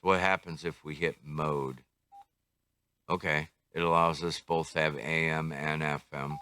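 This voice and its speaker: An older man giving a casual hands-on review, musing and thinking aloud as he goes, unhurried. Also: extremely low pitch, steady tone, sharp tone, slight background noise. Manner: unhurried, musing, talks to himself. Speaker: radio hobbyist reviewer.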